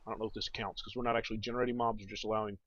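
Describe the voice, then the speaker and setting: A man's voice: lowish voice, flat delivery, racing, a bit bright, dry recording. A man giving casual gameplay commentary as a let's play host, thinking aloud and talking to viewers. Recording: dull, noisy home recording.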